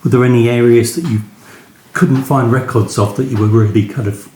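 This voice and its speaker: A man's voice: mid-range voice, fairly even intonation, fairly quick, mellow, slight background noise. A man interviewing an author conversationally, phrasing his questions a little tentatively. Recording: echoey room.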